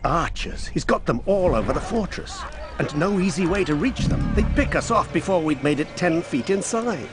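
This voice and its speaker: An actor playing a grim soldier scout, reporting in clipped, urgent phrases. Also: male voice, a little high, animated, normal speed, over music, close to an everyday voice.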